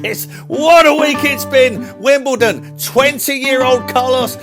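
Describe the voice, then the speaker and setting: A man voicing an excitable male radio host with a squeaky, shouty voice, in a comic radio spoof. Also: slow, music in the background. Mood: gushing. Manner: squeaky, shouty, gushing and giggly.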